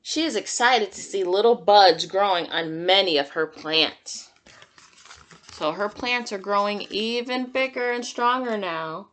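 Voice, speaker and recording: A woman's voice: medium pitch, unhurried, a bit bright. A woman teacher reading a picture book aloud to young children, adding simple, encouraging comments and questions as she goes. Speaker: kindergarten teacher.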